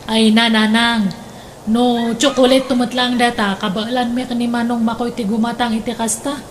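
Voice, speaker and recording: Casting: actress for resentful, gossip-prone sister in Ilocano radio drama; high female voice, Ilocano-accented. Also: little pitch movement, moderate pace, a little hiss or hum, natural voice. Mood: scornful. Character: gossipy, resentful sister, scornful of her sibling.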